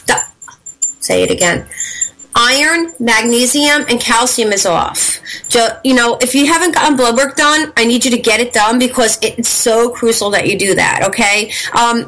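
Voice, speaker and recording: A woman hosts a live psychic call-in show on internet radio, her voice sitting a little higher than usual. She talks in a rambling, emphatic way, full of fillers and restarts, and swears casually and bluntly. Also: fairly bright tone, poor audio.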